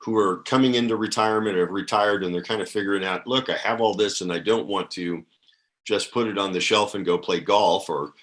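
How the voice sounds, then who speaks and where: A man's voice: on the low side, fairly quick, a bit bright. A man hosting an interview podcast, encouraging his guest with affirming words and hesitant fillers. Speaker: podcast host.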